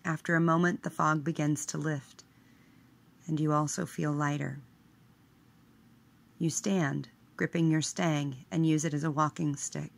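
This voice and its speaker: Woman, guided meditation narrator, husky and creaky, unhurried, hushed, measured phrasing. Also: low-pitched, steady tone.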